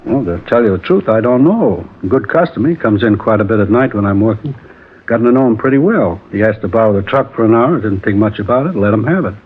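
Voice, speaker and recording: A man's voice: lowish voice, lively intonation, fast, slightly rough. An American man acting an easygoing but evasive working counterman in a 1950s radio drama, speaking in casual clipped phrases. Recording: tinny, muffled old radio recording.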